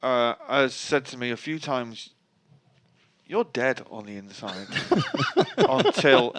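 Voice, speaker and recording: This is a middle-aged man with a Manchester accent, chatting as a guest in a dry, wry manner. He often stops and restarts mid-sentence, and his voice sits at an ordinary, middling pitch. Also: wildly swooping pitch, takes it slowly, fairly bright tone. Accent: Mancunian. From Manchester, England. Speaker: guest musician. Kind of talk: casual interview chat.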